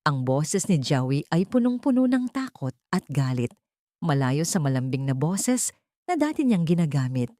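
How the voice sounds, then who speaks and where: A woman's voice: deep voice, very animated, normal speed, dark tone, no echo, studio quality. A woman reading a dramatic scripted audio story as its narrator.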